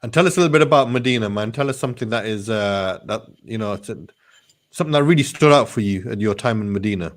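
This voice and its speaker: A man's voice: on the low side, expressive, fast talker. A man hosting a casual interview show, speaking in an informal, matey way with Arabic phrases sprinkled in. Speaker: talk show host.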